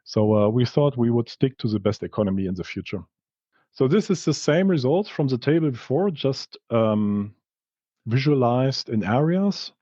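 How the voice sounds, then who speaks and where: A man who speaks English as a second language gives a technical research presentation in a halting, explanatory way, correcting himself as he goes. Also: mid-range voice, sing-song, mellow, no room sound. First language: not English. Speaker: researcher presenting study results. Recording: slightly dull, dry lo-fi audio.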